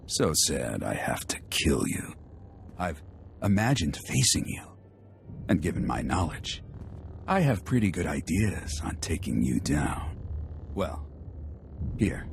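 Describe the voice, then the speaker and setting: A man's voice: sits low, very animated, slow pace, creaky, pauses often, slight background noise, full character voice. A man voicing a smug, chatty, deadly assassin in a video game, with a theatrical, taunting manner. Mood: admiring menace.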